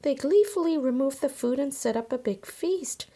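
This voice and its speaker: High-pitched woman reading a children's story. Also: lively intonation, moderate pace, warm tone. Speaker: storybook reader. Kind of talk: scripted children's story read aloud.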